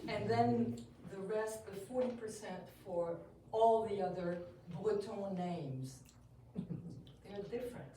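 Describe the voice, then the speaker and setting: A woman's voice: mid-range voice, takes it slowly, warm tone. Echoey, distant French woman giving a talk. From Brittany, France. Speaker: visual artist giving a talk, quilter. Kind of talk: informal artist talk to an audience. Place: indoor gallery, recorded from a distance. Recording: echoey, noisy gallery room, distant mic.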